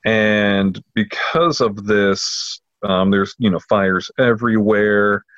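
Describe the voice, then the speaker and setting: A man co-hosting a podcast, chatting casually in a halting way full of fillers, on a slightly dull recording. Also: lowish voice, fairly even intonation, fairly bright tone, dry recording. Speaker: podcast co-host. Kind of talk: casual chat, informal book recommendation.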